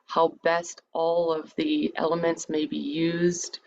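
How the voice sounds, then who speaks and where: A woman leading a guided meditation in a soothing, measured, invitational manner. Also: deep voice, little pitch movement, creaky, a little mellow. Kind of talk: guided meditation, part improvised, part read lecture. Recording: dull, lo-fi sound with background noise.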